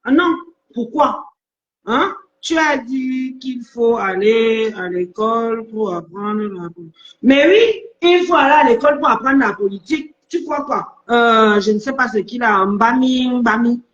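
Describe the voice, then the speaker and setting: A woman's voice: on the high side, measured pace. An older woman speaking as a guest in a heated live-stream debate, indignant and repeating herself insistently, on lo-fi phone audio. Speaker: panel guest.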